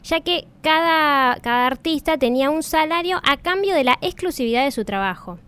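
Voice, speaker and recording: A woman's voice: high voice, expressive, moderate pace, fairly bright tone. A woman, giving informative explanations of facts and words as a podcast co-host.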